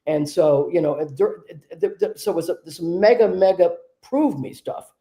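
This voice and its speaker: A woman hosting a conversation, a medium telling stories in a relaxed, discursive way. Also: lowish voice, moderate pace. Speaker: host and trance medium. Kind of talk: casual conversation.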